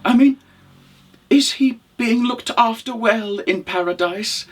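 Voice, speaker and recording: A man voicing an excitable, gullible middle-aged widow from a folktale, full of eager questions and exclamations. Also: very high-pitched, takes it slowly, recorded from a distance, voice pushed up, full character voice. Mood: amazed, eager.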